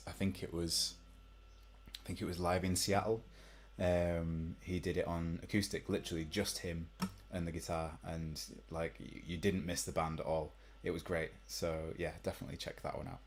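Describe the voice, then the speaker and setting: A young man with a deep voice, chatting casually to live-stream viewers between songs, rambling with many ums. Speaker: musician hosting a live stream. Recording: faint guitar behind.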